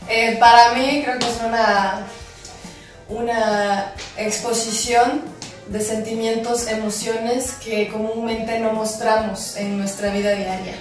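A woman's voice: fairly high pitch, level tone, takes it slowly, faint music behind. A woman in her thirties from Ecuador, an actress talking in an interview in an enthusiastic, playful way. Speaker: musical theatre actress and producer. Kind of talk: casual interview chat. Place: office room with glass wall, seated on chairs. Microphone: far camera mic. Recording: very echoey room from far off.

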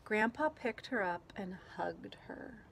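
A woman reading a children's picture book aloud outdoors in a gentle, deliberate way, pausing between phrases. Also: mid-range voice, animated. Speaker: story reader. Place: outdoors, garden. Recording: clear outdoor background noise.

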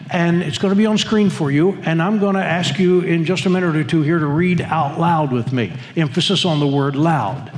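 A man's voice: fairly high pitch, slight background noise. Older male pastor preaching in a reverberant church. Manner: earnest, repeats words and phrases, leads congregation reading. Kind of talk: sermon. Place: church sanctuary. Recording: long hall reverb in a church.

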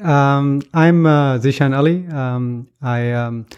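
A man from Pakistan in his thirties, a software developer guest talking hesitantly with many pauses. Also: mid-range voice, clean tone, highs cut off. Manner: hesitant, many ums and uhs, flat delivery. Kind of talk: casual chat. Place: small office room indoors.

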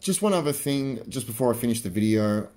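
A man in his thirties with an Australian accent, chatting casually to camera about fishing lures, relaxed with frequent fillers. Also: medium pitch, a little fast. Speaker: fishing vlogger. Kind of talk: casual explanatory talk to camera. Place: indoors by a garage door.

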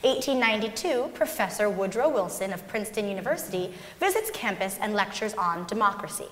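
A woman reads scripted narration with very lively, swinging pitch. The hall is echoey and there is faint background noise. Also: brisk. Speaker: narrator in a staged reading. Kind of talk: scripted narration announcing years and events. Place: large concert hall stage before an audience.

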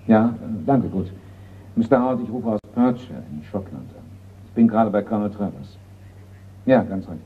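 Man acting composed low-voiced adviser, tinny recording. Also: close to an everyday voice. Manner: composed, polite, measured, insistent. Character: calm, discreet male art valuer advising a colonel. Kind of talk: German radio crime play acting. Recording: tinny, narrow-band radio recording, faint noise.

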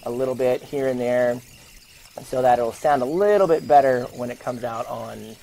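A man's voice: mid-range voice, normal speed, vocal fry, mellow, slight background noise, poor audio. A middle-aged man with an American accent, telling stories and explaining his work conversationally in an interview as an announcer. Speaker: sports public address announcer being interviewed. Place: open indoor lobby studio at a desk.

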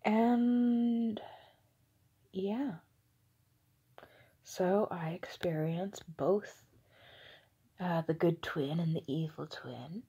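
A young adult woman giving a whispered, halting ASMR monologue in a breathy voice, recorded indoors on a phone held at arm's length. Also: fairly low pitch, mellow. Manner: slow, hesitant, halting. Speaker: ASMR video creator. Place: small indoor room with curtains.

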